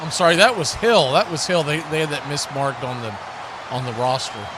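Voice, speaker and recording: A man's voice: fairly high pitch, brisk, a crowd in the background. A man doing live play-by-play commentary of a football game as the announcer. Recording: clearly noisy live stream audio.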